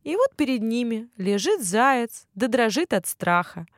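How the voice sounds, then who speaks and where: Lady telling a kids bedtime story, highish voice, very animated, dry sound. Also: unhurried, no echo. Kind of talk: expressive children's bedtime fairy tale narration.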